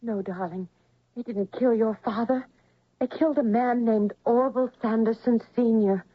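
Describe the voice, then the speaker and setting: Calm firm wife, husky, dry but muffled old radio drama sound. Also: female voice, on the high side, normal speed, dry recording. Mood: soothing, firm. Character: calm, firm, devoted wife soothing her husband.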